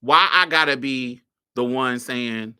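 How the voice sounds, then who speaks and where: Sassy, bright-voiced woman host. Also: extremely low pitch, slow, clean tone. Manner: sassy and blunt, swears freely, laughs often. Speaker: podcast host. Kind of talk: casual commentary monologue.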